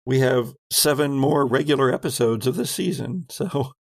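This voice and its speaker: A man hosting as game master, narrating a dramatic recap of a tabletop horror game in measured, theatrical phrasing. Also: medium pitch, vocal fry, no room sound. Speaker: game master and podcast host.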